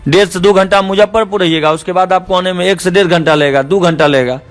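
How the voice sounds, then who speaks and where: High-pitched Bihari man arguing by phone. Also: fairly even intonation, fast. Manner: argumentative, insistent. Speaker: stage show organizer. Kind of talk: heated phone negotiation. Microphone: phone call. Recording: phone call recording with faint music.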